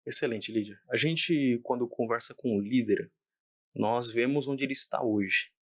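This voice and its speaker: A man's voice: mid-range voice, moderate pace, no echo, studio quality. A man hosting an interview podcast, enthusiastic and effusive as he introduces his guest. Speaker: podcast host.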